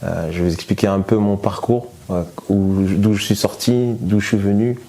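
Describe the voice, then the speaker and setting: Deep-voiced man, halting testimony. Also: fairly even intonation, dark tone. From France. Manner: hesitant, many fillers and restarts. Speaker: guest giving testimony. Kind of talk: conversational personal testimony.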